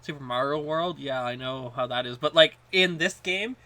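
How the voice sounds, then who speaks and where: Guy podcast co-host, kinda high bright voice, stop-and-start casual gaming chat. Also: normal speed.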